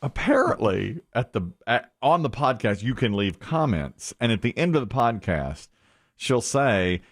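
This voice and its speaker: A man chatting on a morning radio show, his voice swinging lively up and down as he tells a story. He slips into mimicking other people's voices now and then, speaking into a studio mic. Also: mid-range voice, measured pace, dry recording. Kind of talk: casual radio banter.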